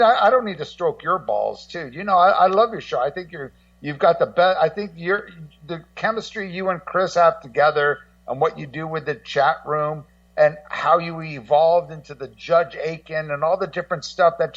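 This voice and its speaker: Male guest co-host, higher pitch, casual profanity, excitable rambling, repeated words, distant dull recording. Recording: dull lo-fi, distant-sounding connection.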